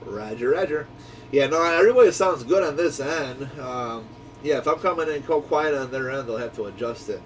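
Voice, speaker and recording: A man's voice: medium pitch, brisk. Easygoing man, noisy distant recording. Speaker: radio shop owner hosting a walkie-talkie group channel. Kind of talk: casual instructional chat over radio.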